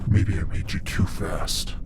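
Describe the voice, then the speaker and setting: A man voicing a playful, sadistic monster who taunts his prey in an ASMR roleplay, often slipping into breathy whispers. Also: a little slow, heavy background noise, lightly acted. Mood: breathless frustration.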